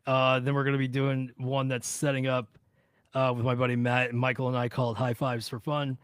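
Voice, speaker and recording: A man's voice: medium pitch, level tone, fairly quick, smooth, no echo, clean studio sound. A man in his thirties chatting casually as a podcast producer and host, joking and self-deprecating.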